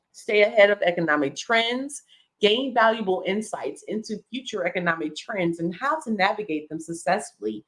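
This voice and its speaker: A middle-aged woman hosting a live reading, going through a list item by item with casual asides and small self-corrections. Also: medium pitch. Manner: reads list items aloud, chatty asides, self-corrections. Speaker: host reading a document aloud.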